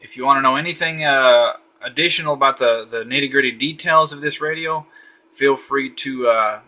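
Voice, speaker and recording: A man's voice: mid-range voice, normal speed, bright, forceful tone. A man giving a casual, easygoing walkthrough of a radio at a home desk late at night, thinking aloud with frequent fillers. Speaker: radio reviewer.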